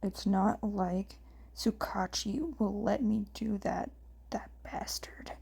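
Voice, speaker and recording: A woman's voice: medium pitch, fairly even intonation, slow pace, vocal fry, darkish tone, husky, full character voice. A woman voicing a curt, grumbling, protective man, a younger brother, in story dialogue. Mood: grumbling, threatening.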